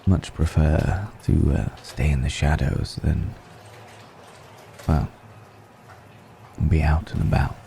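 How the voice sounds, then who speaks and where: An extremely deep, dark-toned vampire gentleman played by a male actor murmurs intimately in an ASMR audio roleplay. He is slow, suave and secretive, choosing his words with courteous, teasing pauses over a faintly noisy background. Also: heavily acted. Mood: guarded, wry. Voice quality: low, gravelly murmur. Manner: measured, hesitant pauses, genteel and teasing.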